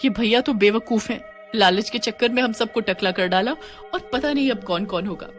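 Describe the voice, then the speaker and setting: Woman voicing an indignant young village wife, high-pitched. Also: moderate pace, faint music behind, lightly acted. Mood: worried. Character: indignant, distressed young village wife, cartoon horror story. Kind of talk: acted cartoon dialogue.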